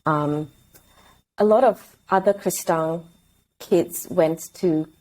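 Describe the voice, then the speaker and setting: A woman in her thirties from Singapore, talking as a podcast guest. Her voice is mellow and slightly low, and she speaks reflectively, pausing and correcting herself as she goes. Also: rough recording. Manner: reflective, hesitant, self-correcting. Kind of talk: casual interview.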